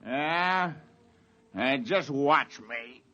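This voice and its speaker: A man's voice: medium pitch, animated, drawn-out words, heavy background noise. An actor playing a brash, drunken spaceship crewman, boisterous and jeering, in a 1950s radio drama. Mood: defiant, sneering. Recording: dull old radio broadcast recording.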